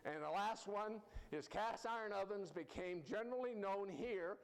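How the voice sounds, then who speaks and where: A man's voice: high voice, normal speed. A man speaking as a live storyteller, folksy and emphatic, often correcting himself. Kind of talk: live storytelling with audience quiz. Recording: noisy live event recording.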